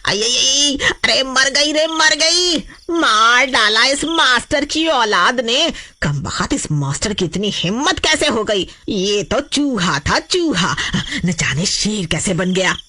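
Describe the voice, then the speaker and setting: Lady doing a quarrelsome village wife for a cartoon story, high, swoopy, melodramatic nagging. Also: lively intonation, slow, pushed and bright, exaggerated voice. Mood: wailing indignation. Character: quarrelsome village wife, sharp-tongued nag. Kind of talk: Hindi horror cartoon story voice acting.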